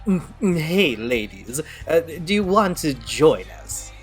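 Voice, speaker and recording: A man's voice: a little high, sing-song, slow, soft background music. A man playing a drunk, eager college partygoer in an audio drama, rambling and hesitant. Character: really drunk, eager college-age male partygoer explaining games.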